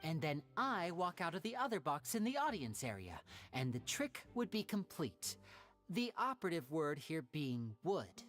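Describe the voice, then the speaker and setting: Lively young male magician. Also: low voice, normal speed. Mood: explaining, theatrical. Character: suave young male stage magician, playful and confident. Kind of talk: video game dialogue acting. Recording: faint music behind the voice.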